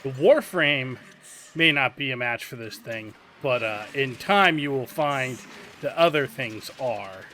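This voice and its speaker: A man's voice: medium pitch, animated, unhurried, pushed and bright, slight background noise. A man hosting a game playthrough, explaining mechanics and giving tips to viewers. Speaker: gaming channel host. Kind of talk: let's play game commentary.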